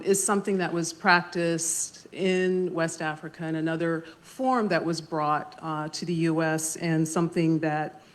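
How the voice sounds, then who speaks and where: Soft-spoken female moderator, lower voice, measured delivery with pauses, at a live library event. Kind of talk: panel discussion opening talk. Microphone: handheld mic.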